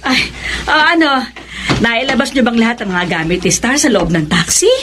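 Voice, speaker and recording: A woman playing a warm, chatty, doting Filipino mother in a radio melodrama. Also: on the high side, very animated, moderate pace. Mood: warm, fussing. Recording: very noisy scene ambience.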